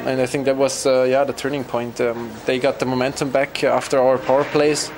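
A young man, a team captain, giving a halting post-game interview in an arena corridor. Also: medium pitch, level tone, slight background noise. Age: young adult. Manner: halting, repeating words as he searches. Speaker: ice hockey team captain. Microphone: handheld interview mic.

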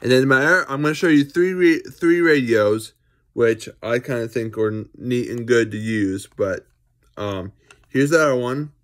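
A young adult man giving a casual, unscripted gadget demonstration, halting and often repeating himself. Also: medium pitch. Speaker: amateur vlogger showing gadgets. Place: small furnished bedroom indoors. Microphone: phone or camera at arm's length.

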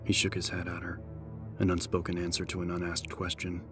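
A man narrating an audiobook in a measured, solemn, scripted dramatic style. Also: sits low, completely flat delivery, music in the background.

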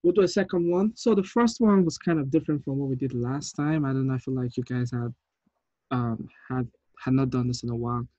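A man's voice: mid-range voice, fairly quick, mellow, dry recording. A man in his thirties directing a script read in a casual way over a video call. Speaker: director reading a screenplay at a table read. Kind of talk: casual script reading and notes. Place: indoor room on a video call. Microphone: video call with earpiece. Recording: dull video-call audio.

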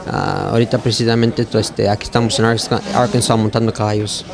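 Hesitant jockey, handheld mic. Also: male voice, fairly low pitch, little pitch movement, fast, gravelly, crowd noise. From Mexico. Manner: hesitant, many fillers and restarts. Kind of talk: on-camera interview answers. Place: racetrack clubhouse indoors. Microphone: handheld mic held by interviewer.

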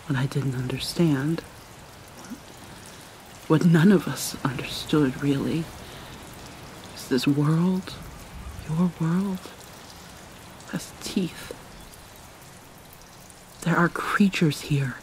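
A woman voicing a guarded, wounded vampire mermaid in an ASMR roleplay, speaking in a hesitant, halting, hushed and often breathy way. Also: sits low, a little hiss or hum. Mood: wistful, ominous. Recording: rain and water sounds behind. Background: rain and pouring water.

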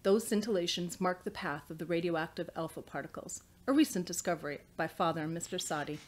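Woman, thirties, slightly low pitch, author reading, indoor study. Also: a little fast. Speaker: author reading own novel. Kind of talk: audiobook-style reading, scripted narration. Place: small indoor study with bookshelves.

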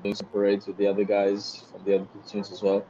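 A young man with an Australian accent, a guest chatting casually on a video call, relaxed and rambling. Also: lowish voice, fast talker, background noise. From Singapore. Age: young adult. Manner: relaxed, rambling with many likes and you-know-what-I-means. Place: small bright room indoors. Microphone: headset. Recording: dull lo-fi video call audio.

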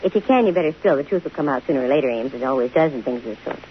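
A woman's voice: rumbling low, expressive, racing. An actress playing a bold, dryly wisecracking female private investigator in a 1950s radio detective drama. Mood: probing. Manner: dry, wisecracking. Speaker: lead actress and first-person narrator of a detective radio show. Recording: hissy, muffled old radio recording.

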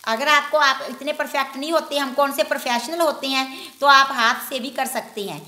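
A woman's voice: high voice, normal speed, bright, forceful tone. A woman hosting a home cooking show, explaining each step of a recipe at the stove in a homely way. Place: home kitchen at a griddle. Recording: echoey kitchen with sizzling griddle.

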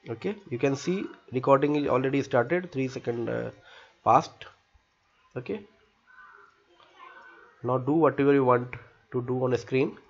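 A man with an Indian accent presenting a software tutorial in halting, step-by-step short phrases. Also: medium pitch, breathy edge. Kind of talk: unscripted screen-recording software tutorial. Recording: muffled lo-fi home recording.

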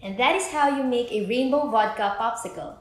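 A woman's voice: a little high, fairly quick. Echoey young woman presenting a recipe. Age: 20s. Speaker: host of a drink recipe video. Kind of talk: scripted step-by-step tutorial presenting. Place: indoor kitchen with bare walls. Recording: echoey room with background music. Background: music.

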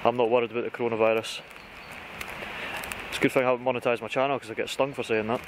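Casting: British male outdoor vlogger, mid-pitched voice, informal and chatty, talking through kit in a noisy outdoor recording. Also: little pitch movement, very fast. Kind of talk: casual gear walkthrough to camera. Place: outdoors in conifer woodland. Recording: outdoor recording with clear background noise.

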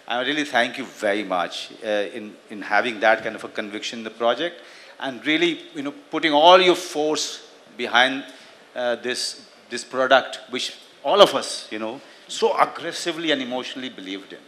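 A middle-aged man, answering press questions on a stage with a handheld mic, halting and full of fillers. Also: mid-range voice, sharp tone. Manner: halting, many fillers and restarts. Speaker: actor on a press panel. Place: large hall on a stage. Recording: long hall reverb.

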